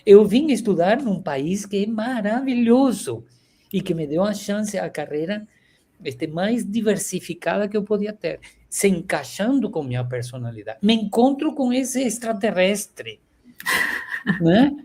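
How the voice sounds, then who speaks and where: A man in his sixties from Venezuela, speaking Portuguese with a Venezuelan Spanish accent, reflecting slowly as an interview guest over a video call with many pauses and the filler "este". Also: high-pitched, sing-song. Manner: warm, halting, frequent filler "este".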